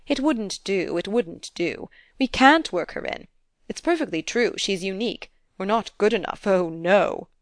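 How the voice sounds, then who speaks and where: A woman's voice: medium pitch, animated, a little slow, no room sound. A woman reading aloud and voicing a genteel, easygoing man who speaks in a kindly, coaxing way and repeats himself. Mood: coaxing, exuberant.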